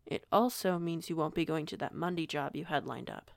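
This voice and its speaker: Woman acting low, creaky, assured female pack alpha. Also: no room sound, clean studio sound. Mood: calm, firm. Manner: measured, reassuring, gently authoritative. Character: young female werewolf pack alpha, kindly, assured, protective. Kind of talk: audio roleplay acting.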